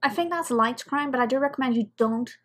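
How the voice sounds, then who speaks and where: A woman's voice: high voice, brisk, a bit bright. A woman giving casual, off-the-cuff commentary as host of a reaction video.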